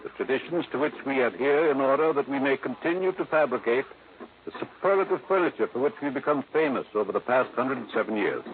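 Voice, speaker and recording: An actor playing a prim, old-fashioned, pedantic head of a family firm in a 1950s radio drama, speaking formally and with fussy precision. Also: male voice, mid-range voice, expressive, quick pace, close to an everyday voice. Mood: proud, courtly. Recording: muffled old radio broadcast, faint noise.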